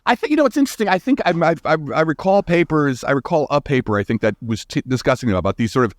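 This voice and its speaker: Animated man explaining science, very lively pitch. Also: fast talker, no room sound, clean studio sound. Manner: emphatic, informal, thinking aloud. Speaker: guest scientist. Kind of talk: explanatory interview conversation.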